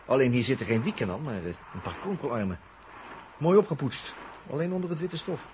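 An actor from an early sixties radio play voicing a cheeky, ingenious cyberneticist, chatty and jocular, with a Netherlands Dutch accent. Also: male voice, medium pitch, very animated, a little fast, darkish tone, natural voice. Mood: amused, curious. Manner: jocular, chuckling, colloquial, thinking aloud. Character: cheeky, ingenious male cyberneticist on a space crew. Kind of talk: 1960s Dutch science-fiction radio play acting. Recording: noisy early 1960s radio recording.

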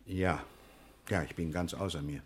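Deep husky voice, well-mannered pharmacy owner, earnest, sixties radio crime drama. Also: male voice, fairly even intonation, moderate pace. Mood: troubled, earnest. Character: courteous male pharmacy owner, shaken but protesting. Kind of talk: 1960s German radio crime drama acting.

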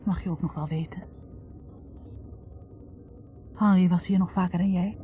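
An actress playing a wounded, bitter young academic woman confessing in a halting, accusing way in a 1960s radio drama. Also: lowish voice, steady tone, soft background music, natural voice. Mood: defiant, resolute. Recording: tinny narrow-band 1960s radio recording.

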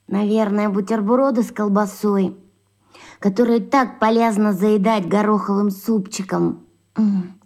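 An actress playing a dreamy schoolboy who muses to himself in a children's radio play. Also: female voice, medium pitch, a little mellow, close to an everyday voice. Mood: musing, hungry. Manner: musing, drawn-out inner monologue. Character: dreamy schoolboy Vitka, hearty eater, musing to himself.

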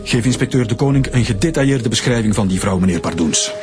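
An actor with a Flemish accent playing a stern, demanding police chief in a radio drama, direct and insistent. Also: male voice, on the low side, animated, fast talker, music in the background, close to an everyday voice. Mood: pressing, stern.

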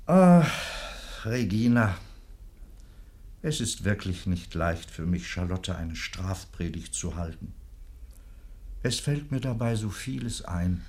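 A man's voice: deep voice, sing-song, slow, long pauses, darkish tone, heavily acted. A man playing a kindly, indulgent old provost in a literary radio drama, affectionate and a little hesitant. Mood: reluctant, reminiscing. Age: older.

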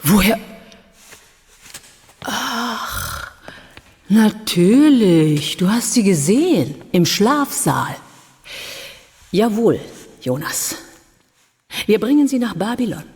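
A woman's voice: medium pitch, very animated, slow pace, long pauses, a little mellow, long reverb tail. An actress playing a hard, determined urban guerrilla leader in a radio drama, commanding and cool in her delivery. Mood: curt, surprised.

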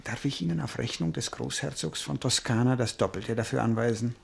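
Husky, gravelly scheming envoy. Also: male voice, medium pitch, fairly quick. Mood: suave. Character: smooth scheming Italian envoy, art connoisseur, coldly plotting. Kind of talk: German radio drama acting.

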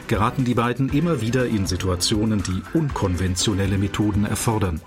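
A man reading a scripted introduction as the announcer of a radio play, in a measured, factual manner. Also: lowish voice. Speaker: narrator and announcer.

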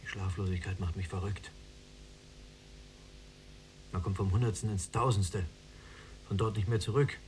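An actor playing a sleepless, sardonic and quietly defiant younger prisoner in a radio drama, terse and dry. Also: male voice, deep voice, steady tone, moderate pace, pauses often, noisy background, lightly acted. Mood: weary.

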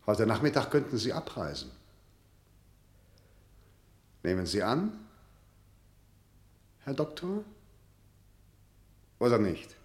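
A man's voice: on the low side, very animated, a little slow, long pauses, husky, full character voice. An actor playing a stern, cold prosecutor and father in a radio play, clipped, legalistic and condescending. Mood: cool, official. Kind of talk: German radio play acting.

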